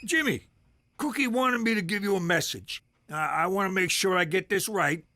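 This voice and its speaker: A man's voice: high-pitched, normal speed, pushed and bright. A man voicing a crude, brash uncle in a cartoon, pushy and streetwise. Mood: eager. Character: crude, brash uncle, six times divorced.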